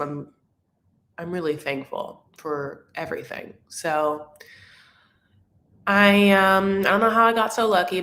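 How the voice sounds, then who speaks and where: A woman chats to her viewers on a live stream from home, drifting between thoughts with plenty of fillers. Her voice sits a little low and she speaks slowly. The room around her is noticeably echoey. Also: fairly bright tone. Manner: rambling, chatty, many fillers and restarts. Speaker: reality TV recap livestream host. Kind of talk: casual live-stream chat. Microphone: webcam or phone on a live stream.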